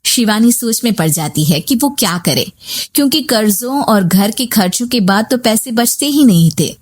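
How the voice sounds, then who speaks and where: High-voiced woman narrating a family story. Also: expressive, normal speed. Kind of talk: scripted narration of a Hindi moral family story.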